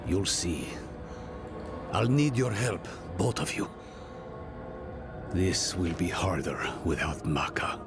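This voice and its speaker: Gravelly, brooding warrior. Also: male voice, sits low, expressive, full character voice. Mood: grave, resolved. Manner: grave, portentous, deliberate. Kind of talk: dramatic video game acting. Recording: loud music under the voice.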